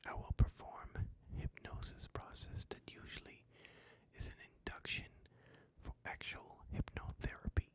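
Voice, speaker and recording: A host whispering a sleep hypnosis session in a breathy, soothing, deliberate way, phrase by phrase. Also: poor audio.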